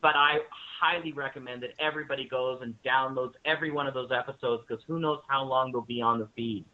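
Guy sounding like he's on a phone line, effusive guest in an interview, normal pitch. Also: fairly even intonation, quick pace. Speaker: guest, radio drama maker. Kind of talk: enthusiastic panel interview talk.

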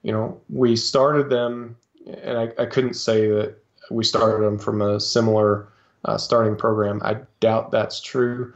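A man's voice: fairly low pitch, flat delivery, measured pace. A man speaking as a guest coach in a podcast interview, hesitant, with many fillers and restarts. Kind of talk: casual interview talk. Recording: slightly dull lo-fi sound.

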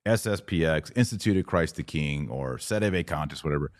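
A man's voice: a bass voice, animated, brisk, dry recording, studio quality. A man hosting an informal religious Q&A, explaining things in a didactic way and answering his own rhetorical questions. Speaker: podcast host answering viewer questions. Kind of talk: live Q&A, informal religious teaching.

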